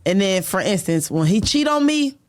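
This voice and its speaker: A woman's voice: mid-range voice, big pitch swings, moderate pace. A young adult woman rapper talking candidly in a casual interview, using laid-back street slang. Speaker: rapper, guest.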